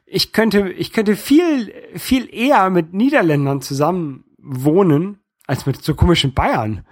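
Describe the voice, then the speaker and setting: A man from northern Germany with a light North German accent, talking casually about opinions, haltingly and often repeating words as he searches for the next. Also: fairly high pitch, no echo, studio quality. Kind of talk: casual political discussion.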